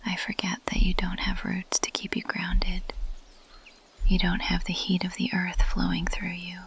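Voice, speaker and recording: A woman playing an ancient, possessive plant monster girl in an intimate ASMR roleplay, speaking in a breathy, lingering whisper. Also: lowish voice, monotone, a little slow, a bit bright, exaggerated voice. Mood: coaxing, protective.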